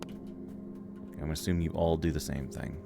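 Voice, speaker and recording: Very deep-voiced man narrating a game. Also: fairly even intonation, normal speed, creaky. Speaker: streamer reading a game's text aloud. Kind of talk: let's play narration, reading dialogue aloud. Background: game music.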